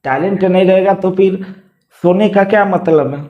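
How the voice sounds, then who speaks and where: Guy doing a casual vlog call, voice a bit high, keeps pressing with questions, slightly muffled lo-fi audio. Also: normal speed. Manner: probing, repeats questions. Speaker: channel host taking a phone call.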